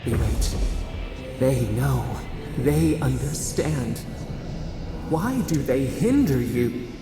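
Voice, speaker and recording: An actor voicing a haunted, bewildered otherworldly spirit in a fantasy game, halting and cryptic, through heavy reverb. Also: mid-range voice, animated, gravelly, exaggerated voice. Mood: pleading, questioning. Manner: halting, cryptic fragments, dramatic. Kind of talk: eerie fantasy video game acting. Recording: cavernous reverb, music underneath.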